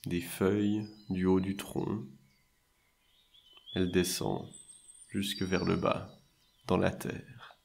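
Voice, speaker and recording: A man guiding a meditation in a soothing, measured way, leaving long pauses between short phrases. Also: deep voice, flat delivery, creaky, a little mellow, slight background noise. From French-speaking Switzerland. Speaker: meditation and hypnosis guide. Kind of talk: guided meditation narration.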